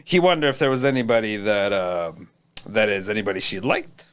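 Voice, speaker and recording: A woman voicing an awkward, polite young gentleman cat, hesitant and stammering, with meows between phrases. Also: very deep voice, animated, brisk, smooth. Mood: hesitant, embarrassed.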